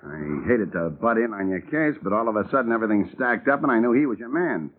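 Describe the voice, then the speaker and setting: An actor playing a New York private detective in an old radio drama, talking tough and justifying himself. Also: male voice, on the low side, animated, fast, slight background noise, natural voice. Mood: defensive, tough. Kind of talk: 1950s radio drama acting. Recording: old tinny phone-like radio recording.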